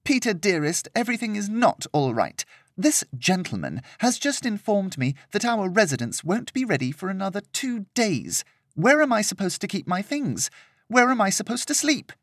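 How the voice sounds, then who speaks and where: Man putting on a shrill spoiled young lady. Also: sing-song, normal speed, no room sound, clean studio sound, heavily acted. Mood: indignant. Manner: whiny, indignant. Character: haughty spoiled young woman, shrill and demanding.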